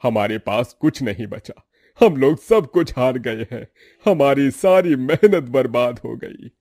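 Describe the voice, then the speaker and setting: A man's voice: medium pitch, expressive, a little slow, a little mellow, no room sound, clean studio sound. A man voicing a middle-aged father and family patriarch in a cartoon story, firm and emphatic. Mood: despairing.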